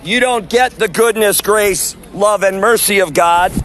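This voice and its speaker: A man preaching loudly and forcefully on an outdoor sidewalk, proclaiming to passersby. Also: sits high, a little slow, a little hiss or hum. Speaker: street preacher. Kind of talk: open-air street preaching.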